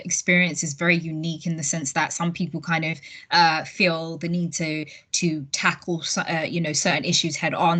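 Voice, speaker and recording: A woman with a somewhat low voice hosts an interview. She speaks in a relaxed, conversational way, agreeing readily and cushioning her questions with hedges like "kind of".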